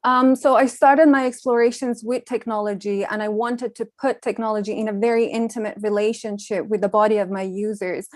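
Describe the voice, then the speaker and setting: A young woman from Iran, a Farsi speaker, giving a measured, reflective conference talk with frequent ums. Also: on the high side, fairly even intonation, no echo, studio quality. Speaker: panel speaker, design researcher.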